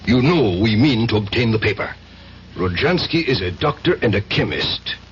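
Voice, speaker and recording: An actor playing a cold Russian secret police officer in a 1970s radio drama, measured, formal and smoothly threatening. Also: male voice, fairly low pitch, sing-song. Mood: calm menace. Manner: measured, formal, coolly authoritative. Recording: dull, hissy old radio broadcast.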